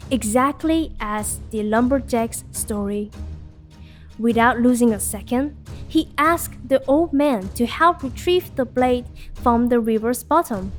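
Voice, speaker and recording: Slow, high-voiced woman telling fairy tales. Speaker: storyteller. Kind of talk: scripted fairy tale narration for children.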